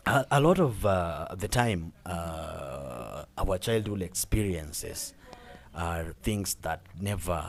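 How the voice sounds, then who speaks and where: A man's voice: low-pitched, expressive, slow pace, vocal fry. A young Kenyan man hosting a casual podcast, talking with many fillers and repeated words and laughing often. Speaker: podcast host.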